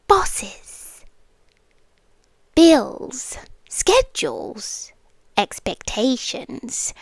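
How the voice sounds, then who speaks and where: British woman doing a possessive snake monster gf, asmr, really slow n swoopy, soft whispery bits, seductive but menacing. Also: high voice, no echo, full character voice. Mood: calm, quietly menacing.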